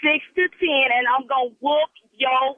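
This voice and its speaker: A woman's voice: high-pitched, steady tone, normal speed, no room sound. A woman in a heated phone argument, defiant and combative, repeating herself as she stands her ground. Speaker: prank call target. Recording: tinny narrowband phone line.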